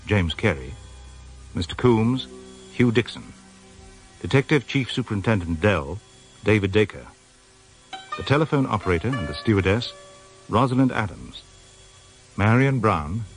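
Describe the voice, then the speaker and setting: A man with a British accent doing formal, scripted radio announcing for a drama serial. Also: on the low side. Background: music.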